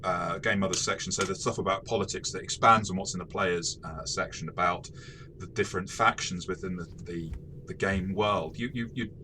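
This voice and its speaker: A man co-hosting a casual game review discussion, thoughtful and halting, often rephrasing mid-sentence. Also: low-pitched, steady tone, sharp tone, slight background noise. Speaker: co-host of a game review show.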